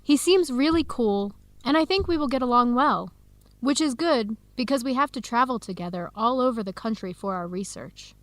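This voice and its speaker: An upbeat young woman with an American accent , played by an actress in a radio drama. Her voice sits fairly high and is recorded dry and close. She chats clearly and expressively, like a researcher talking with her best friend. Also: lively intonation, normal speed, no echo, natural voice. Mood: excited, smitten. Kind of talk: scripted radio drama dialogue for English learners.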